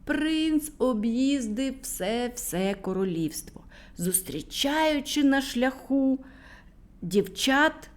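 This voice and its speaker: Playful woman telling a children's tale. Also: high voice. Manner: warm, playful, whispering at moments. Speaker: storyteller for children. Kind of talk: children's fairy tale narration.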